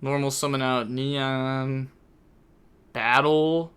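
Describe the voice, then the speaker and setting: Young male streamer, bright crisp voice, chatty casual commentary. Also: mid-range voice, steady tone, extremely slow. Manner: chatty, rambling, thinks aloud with many fillers. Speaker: streamer commentating a card game tournament.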